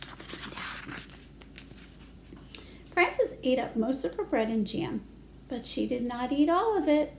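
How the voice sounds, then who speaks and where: Female storytime reader: high, very lively intonation, simple child-directed phrasing. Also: slight background noise, rough recording. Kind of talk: picture-book read-aloud with asides to children.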